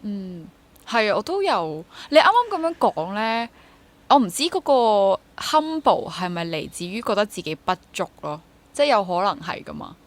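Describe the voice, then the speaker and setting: A woman's voice: medium pitch, sing-song, moderate pace. A young woman with a Hong Kong Cantonese accent talking casually as an interview guest, with English words mixed in. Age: young adult. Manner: mixes English words into speech. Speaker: interview guest, stage actor.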